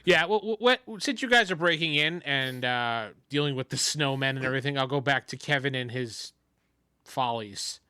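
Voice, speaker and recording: A man's voice: medium pitch, normal speed, pushed and bright, clear, resonant tone, no room sound. A man running a tabletop role-playing game, narrating and bantering casually. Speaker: game master.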